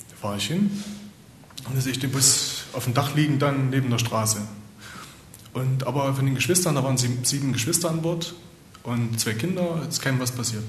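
A man, a missionary, telling a plain, rambling story to a congregation with many fillers. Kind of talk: personal testimony to a congregation. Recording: echoey room with faint rumble.

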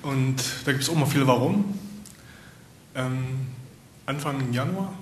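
A man, a missionary, telling a plain, rambling story to a congregation with many fillers. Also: slow. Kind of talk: personal testimony to a congregation. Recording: echoey room with faint rumble.

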